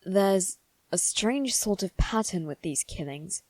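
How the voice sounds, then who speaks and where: ASMR roleplay lead: proud, brooding young noble boy voiced by a woman, soft dark timbre, close dry sound, deliberate and analytical. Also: medium pitch, expressive, dry recording. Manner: measured, musing aloud, formal phrasing with deliberate pauses. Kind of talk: ASMR roleplay, scripted dramatic monologue.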